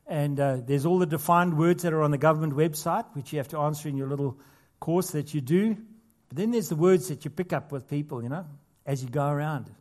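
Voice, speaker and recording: A man's voice: fairly high pitch, brisk, a little mellow. Earnest male pastor preaching a sermon. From not Australia. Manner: earnest preaching with rising emphasis and casual asides.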